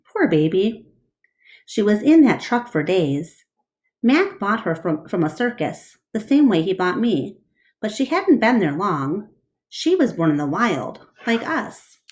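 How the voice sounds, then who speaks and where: A woman voicing a gentle older female elephant, tired, hushed and motherly, in a storybook reading. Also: medium pitch, very animated, a little slow. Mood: tender concern.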